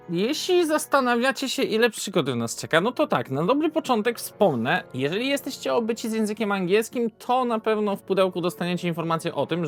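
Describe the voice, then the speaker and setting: A man hosting an unscripted board game video, hesitant and often correcting himself. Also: fairly high pitch. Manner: hesitant, self-correcting, throat-clearing. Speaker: board game reviewer and host.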